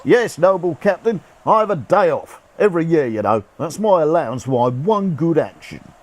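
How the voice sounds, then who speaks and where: An actor playing a cheerful, irreverent rough soldier's ghost in a radio play, talking in jaunty, colloquial speech. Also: male voice, on the high side, lively intonation, normal speed, lightly acted. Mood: cheeky, breezy. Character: cheeky, cheerful old-soldier ghost, rough common man. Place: actor's home.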